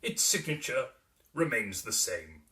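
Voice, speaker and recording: A man voicing a stern, pompous middle-aged businessman and proud father in a dramatic reading of a Victorian novel, speaking slowly and grandly. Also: medium pitch, big pitch swings, sharp tone, roomy sound, recorded from a distance. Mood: proud, emphatic. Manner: pompous, grandiose, slow and declamatory.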